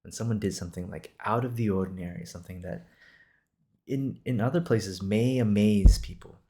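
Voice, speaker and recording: A man's voice: fairly low pitch, normal speed, dark tone. A man in his twenties from Singapore hosting an improvised podcast, talking casually in a reassuring, encouraging, conversational way. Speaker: podcast host.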